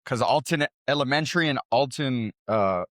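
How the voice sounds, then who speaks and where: A young man from South Texas, a DJ guest in a barbershop, talking in a relaxed, rambling way full of "like" and "bro". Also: mid-range voice, moderate pace, no room sound, clean studio sound. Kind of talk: casual chat.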